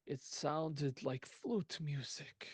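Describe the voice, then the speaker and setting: A man voicing a haunted male mystic who talks in a slow, halting, flat way. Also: fairly high pitch, husky, no echo, voice pushed up, heavily acted.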